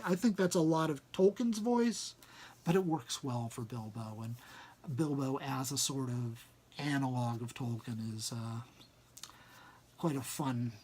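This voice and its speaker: A man with a husky, breathy-edged voice acts as reader and host. His delivery suits storybook narration and informal commentary alike. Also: a little high, darkish tone.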